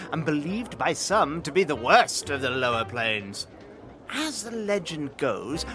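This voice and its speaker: Man playing a fussy, high-pitched, nervous bookish clerk. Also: pushed and bright, heavily acted. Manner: stammering, fussy, formal and apologetic. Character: nervous, bookish male clerk and records researcher. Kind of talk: acted audio drama dialogue. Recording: music under the voice.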